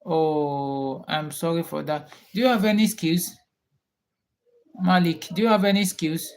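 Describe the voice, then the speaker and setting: A man with a Nigerian accent hosts a casual live chat with his viewers. He talks at an unhurried, drawn-out pace. He often repeats his questions while waiting for replies. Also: fairly high pitch, steady tone. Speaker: livestream host.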